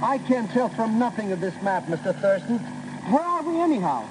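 A jumpy, cowardly sidekick from an old radio adventure. His voice is extremely high for a man and swoops around as he frets and whines, with clear noise on the vintage recording. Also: sing-song, moderate pace. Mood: whiny, worried. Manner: whiny, fretful, colloquial. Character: nervous, excitable, cowardly comic sidekick. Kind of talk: 1950s radio drama acting.

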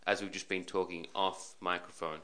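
A man's voice: deep voice, flat delivery, moderate pace, bright, forceful tone. A man hosting a podcast interview, asking long, rambling questions with repeated phrases. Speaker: podcast host.

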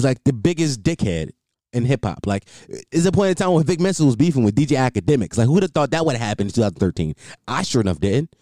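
A man's voice: mid-range voice, mellow, dry recording, clean studio sound. A young man rambling casually as a solo podcast host, repeating himself and filling with "like".

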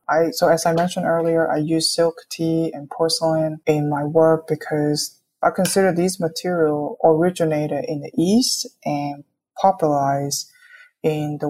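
Young woman from hong kong, pretty low voice, artist chatting in an interview, explains stuff and keeps checking in. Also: dark tone. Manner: explanatory, often checks with "right?". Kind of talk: conversational interview, reflective.

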